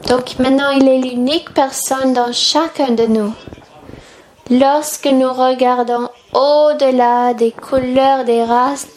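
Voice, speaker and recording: A woman delivers a sermon as an interpreter, one phrase at a time. Her voice sits fairly high and she speaks very slowly. There is some noise in the background. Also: fairly even intonation, darkish tone.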